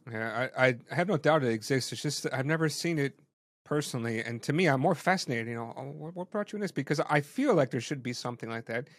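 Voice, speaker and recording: A man's voice: medium pitch, expressive, fast, no room sound. Man, interview host, casual, curious, stumbling restarts, dry sound. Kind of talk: interview conversation.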